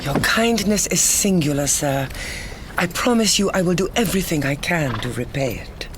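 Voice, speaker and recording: A woman's voice: fairly low pitch, lively intonation, normal speed, close to an everyday voice. An actress playing a mysterious, imperious noble mother in a gothic audio drama, speaking in a formal, grave, theatrical manner. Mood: gracious. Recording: clearly audible background noise and ambience.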